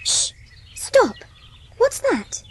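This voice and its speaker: A woman playing an excitable, outspoken young girl, speaking emphatically in a dramatised audiobook. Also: very high voice, drawn-out words, slight background noise, full character voice. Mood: startled.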